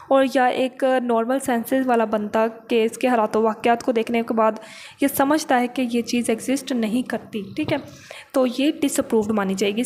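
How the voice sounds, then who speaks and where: A woman's voice: fairly high pitch, darkish tone, a little hiss or hum. A woman lecturing on law, reading out clauses and then explaining them didactically, repeating points and mixing in English legal terms.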